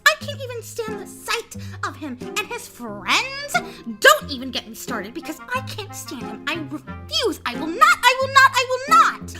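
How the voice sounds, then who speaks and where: Spoiled, contemptuous young student played by a woman, shrill, very high, swooping delivery, loud music underneath. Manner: ranting, haughty, scornful. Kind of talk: amateur audio drama acting. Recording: loud music bed behind voice. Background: playful comedic music.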